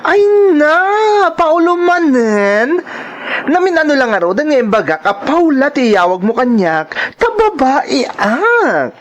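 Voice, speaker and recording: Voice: sits high, very animated, unhurried, a little hiss or hum. A performer with an Ilocano accent playing a sassy, bossy, evasive transgender woman in an audio drama, flamboyant and scolding. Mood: indignant, sassy. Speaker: voice actor in a radio-style drama.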